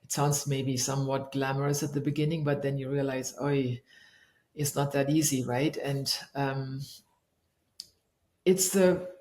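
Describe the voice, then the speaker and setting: A middle-aged woman from Germany, a leadership coach, answering interview questions thoughtfully and pausing often to search for her words. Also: extremely low pitch, steady tone, mellow. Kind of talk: reflective interview conversation. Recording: echoey room.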